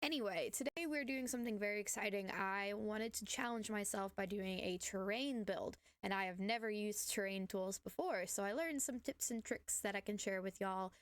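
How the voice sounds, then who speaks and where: A young woman, hoarse from being sick, chatting casually and rambling with many fillers while narrating her building game. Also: mid-range voice, normal speed, hardly any pauses, dry recording. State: hoarse from illness. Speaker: gaming channel host. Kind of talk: casual voice-over commentary on gameplay.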